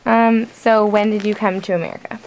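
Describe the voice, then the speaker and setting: A woman's voice: mid-range voice, fairly even intonation, a little fast, darkish tone, rough recording. A young woman conducting an informal interview, asking questions in a hesitant, casual way full of fillers. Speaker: student interviewer.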